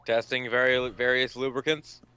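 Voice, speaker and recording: A man's voice: mid-range voice, flat delivery, moderate pace, pushed and bright, smooth, no room sound. A man giving casual, joking co-host commentary with crude, sweary interjections. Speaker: let's play co-host. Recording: slightly dull mic, music behind.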